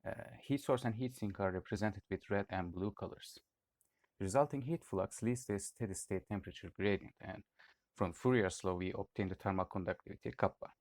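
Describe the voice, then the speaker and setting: Deep-voiced young man, quick and dry. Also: darkish tone, dry recording. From Turkey. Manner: read-aloud explanation with occasional "uh" hesitations. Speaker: student presenter. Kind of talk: scripted project presentation.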